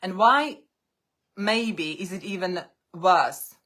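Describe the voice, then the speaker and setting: A woman coaching English learners on a live stream. English is her second language, and she speaks deliberately in short phrases with pauses. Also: lowish voice, a bit bright. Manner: non-native English speaker.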